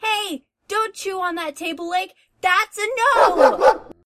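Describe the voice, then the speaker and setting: A woman playing an exasperated, overwhelmed young dog owner in a scripted audio story, expressive and whiny when upset. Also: very high-pitched, slow pace, no echo, clean studio sound, exaggerated voice. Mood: exasperated, scolding.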